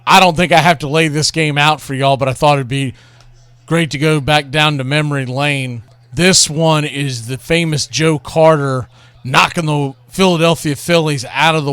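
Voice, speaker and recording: A man's voice: on the high side, a bit bright, clear, resonant tone, dry recording. A man hosting a radio show, talking casually and enthusiastically about classic baseball calls like a storyteller.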